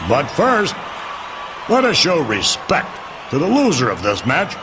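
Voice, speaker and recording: An actor voicing an older male wrestling chairman in a video game cutscene, announcing the winner in a booming, commanding way. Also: wildly swooping pitch, measured pace, crowd noise, background noise. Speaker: company chairman presenting the winner.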